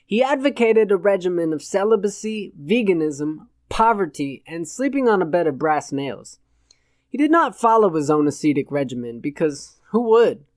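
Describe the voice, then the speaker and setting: A man's voice: sits high, sing-song, normal speed, a little mellow, dry recording, studio quality. A man narrating satirical fiction as a scripted audiobook reading.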